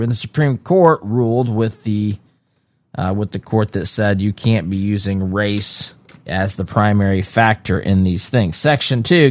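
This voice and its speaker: A lower-pitched man talking through a news article in a casual solo commentary. He reads a passage, then drifts into conversational asides, restarting phrases as he goes. The sound is close and dry with no room around it. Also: dry recording. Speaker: political commentary host.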